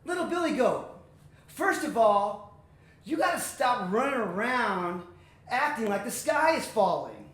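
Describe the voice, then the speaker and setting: A woman's voice: on the high side, very animated, unhurried, echoey room, pitched above the performer's own voice. Woman doing a cocky, wheedling billy goat. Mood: confident. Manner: slangy, wheedling, repeats words for persuasion. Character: cocky, fast-talking, scheming middle brother billy goat. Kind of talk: animated comic folktale storytelling.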